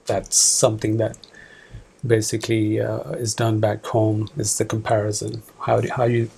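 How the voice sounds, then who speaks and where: A man in his forties from Pakistan, a department manager answering interview questions in an office, halting with many fillers and restarts. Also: lowish voice, completely flat delivery, vocal fry, a little mellow, breathy edge.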